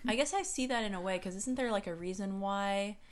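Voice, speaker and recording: A woman's voice: medium pitch, brisk. A woman co-hosting a casual book discussion, talking informally and questioning things as she thinks aloud. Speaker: podcast co-host. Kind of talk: casual chat discussing books.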